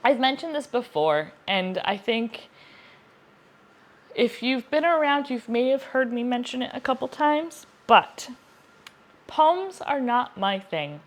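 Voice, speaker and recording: A woman's voice: fairly high pitch, very animated, slow pace. Woman chatting casually about books. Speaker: book reviewer, video host. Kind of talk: casual chatty book review to camera.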